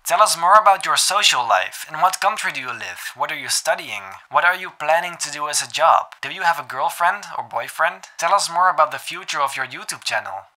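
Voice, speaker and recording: A man reads out viewer questions one after another in a steady, even delivery with no fillers. His voice is very bright and sharp and sits a little high. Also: fairly quick, few pauses. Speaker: question reader. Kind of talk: reading viewer questions aloud.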